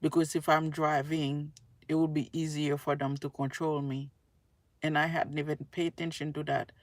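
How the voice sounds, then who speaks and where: A woman's voice: low-pitched, level tone, moderate pace, no room sound. A woman giving a casual, earnest, rambling monologue to her viewers, often repeating her phrases. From Haiti. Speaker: tarot reader and spiritual video creator.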